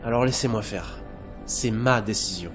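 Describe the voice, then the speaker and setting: A man's voice: lowish voice, moderate pace, lightly acted. A man playing a polite, resigned android caretaker in an audio drama, speaking formally and patiently. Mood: firm, resolute. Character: polite, resigned male android governess and student. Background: music.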